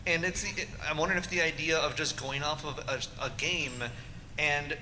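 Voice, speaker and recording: A man's voice: a little high, fairly even intonation, moderate pace, sharp tone. A man from the audience asking questions casually at a live panel. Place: large convention panel room. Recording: echoey hall, faint background noise.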